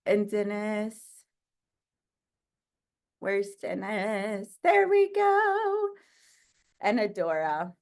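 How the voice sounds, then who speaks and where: Slow, loud-voiced woman hosting a video call. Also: medium pitch. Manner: effusive, hesitant, with many restarts. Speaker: host. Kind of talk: casual hosting chat.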